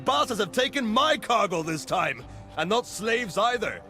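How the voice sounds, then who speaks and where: A boastful pirate captain, with a high voice that swoops theatrically. He swaggers and sneers like a slaver from a fantasy game. Music plays behind him. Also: big pitch swings, moderate pace, bright, forceful tone, exaggerated voice. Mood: contemptuous, grumbling. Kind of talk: fantasy video game voice acting.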